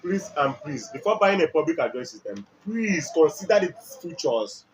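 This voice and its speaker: Higher-voiced Nigerian man, emphatic explainer. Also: very animated, normal speed. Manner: emphatic, repetitive, checks listener with questions. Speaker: music equipment dealer and presenter. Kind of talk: product explainer talk. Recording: dull lo-fi audio, faint background noise.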